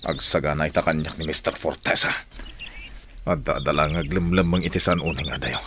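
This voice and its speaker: A man's voice: very deep voice, fairly even intonation, brisk, fairly bright tone, a little hiss or hum. A man playing a hot-blooded, vengeful hero in a radio drama, with intense, anguished, declamatory delivery. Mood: grim, brooding. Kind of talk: Ilocano radio drama acting.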